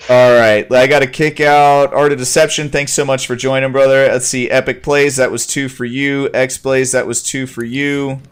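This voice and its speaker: A man's voice: medium pitch, level tone, normal speed, few pauses, clear, resonant tone. A man hosting a gaming livestream, upbeat and busy, chuckling as he manages players and comments on the match. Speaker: stream host. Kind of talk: casual gaming stream commentary.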